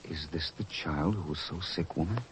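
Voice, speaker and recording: An actor playing a grave, compassionate apostle in an old-fashioned radio drama, speaking formally. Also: male voice, rumbling low, moderate pace, a little hiss or hum, rough recording. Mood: gentle, humbled. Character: grave, compassionate apostle, humble leader of the group.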